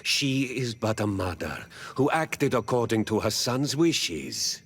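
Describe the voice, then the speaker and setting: A man playing a weary, peace-loving village leader in a video game, grave, thoughtful and husky, speaking solemnly and deliberately. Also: fairly low pitch, big pitch swings, fairly bright tone, heavily acted. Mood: sorrowful, pleading. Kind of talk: video game dialogue acting.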